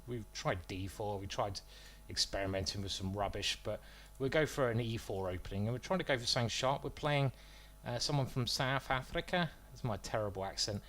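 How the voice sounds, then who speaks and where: A man talks through his chess game live, weighing moves out loud and poking fun at himself between lines. His voice sits at an ordinary middle pitch for a man, and his delivery is loose and chatty rather than polished. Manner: self-deprecating, joking, thinking aloud about moves. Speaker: chess streamer commentating his own games.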